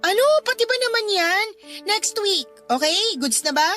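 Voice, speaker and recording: High-pitched exasperated Filipino mother. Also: expressive, normal speed, bright, forceful tone, heavily acted. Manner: casual, pleading, then snappy and bossy. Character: exasperated young mother, brisk, bargaining with her ex. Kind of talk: Filipino radio romance drama acting. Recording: music under the voice.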